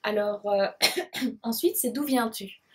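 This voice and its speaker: A young Belgian woman from Liège speaks to her camera with a soft, breathy voice. She talks at a middle pitch, hesitant and shy, and often stops and starts again. Her voice is picked up by the camera mic. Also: slow. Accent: Belgian French, Liège province. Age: 20s. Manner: hesitant and shy, many fillers and restarts. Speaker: beauty blogger and vlogger. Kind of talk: casual talk to camera, answering viewer questions.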